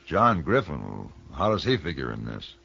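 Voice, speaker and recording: An American man playing a grave, courteous gunfighter for hire in a radio western, speaking in a measured, brooding way. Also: extremely low pitch, very animated, gravelly, pushed and bright, slightly rough, heavily acted. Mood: businesslike. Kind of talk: 1950s radio western acting. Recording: muffled 1960 radio broadcast.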